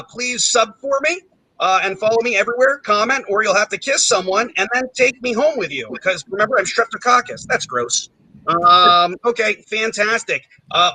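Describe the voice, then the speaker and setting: A young man hosting a live comedy game show, riffing in quick, self-mocking banter full of fillers. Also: high voice, pushed and bright, rough recording.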